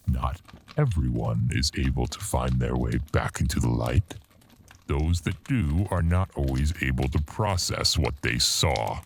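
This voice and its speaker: An actor playing a sinister, all-knowing otherworldly storyteller in a horror radio drama, theatrical, deliberate and solemn. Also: male voice, a bass voice, slight background noise. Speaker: anthology narrator.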